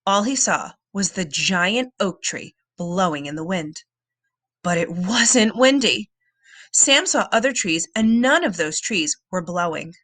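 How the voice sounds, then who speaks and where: Animated, unhurried woman storyteller. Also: medium pitch, dry recording. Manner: dramatic, suspenseful delivery. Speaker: read-aloud storyteller. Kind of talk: expressive children's book narration.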